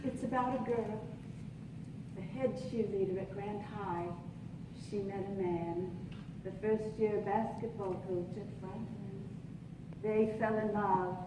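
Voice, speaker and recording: An actress playing a regretful middle-aged widow meeting an old flame in a melodramatic, hesitant stage style. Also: female voice, medium pitch, unhurried, natural voice. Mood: anguished, regretful. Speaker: stage actor. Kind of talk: live stage radio play, 1940s film romance parody. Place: theatre stage, live performance. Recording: echoey, distant, noisy stage recording.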